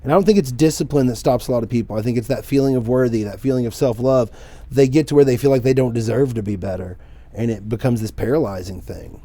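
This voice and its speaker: A man in his forties talks in a mellow, warm voice as a podcast guest, earnest and reflective as he tells his story. The sound is close and dry, with no room echo. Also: mid-range voice, quick pace. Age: 40s. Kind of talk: casual podcast conversation.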